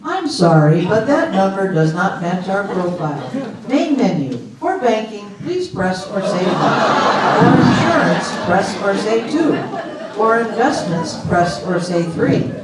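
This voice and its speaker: A man playing a polite, unyielding automated phone system in a comic readers theater, reciting prompts in a formal, measured way. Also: sits high, sing-song, a loud crowd. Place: live readers theater stage with audience. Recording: echoey hall, distant mic, audience noise.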